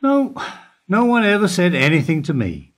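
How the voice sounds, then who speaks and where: A man's voice: high voice, wildly swooping pitch, normal speed. A man playing a dry, evasive security guard. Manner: dry, evasive, terse answers. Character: guarded, weary older male bank security guard. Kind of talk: amateur radio play acting.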